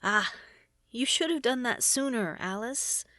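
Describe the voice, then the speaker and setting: A woman's voice: fairly high pitch, lively intonation, measured pace, bright, forceful tone, dry recording, clean studio sound, pitched above the performer's own voice. A woman voicing an irritable, determined young male spellcaster in a storybook reading, curt and exasperated. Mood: dry, softening.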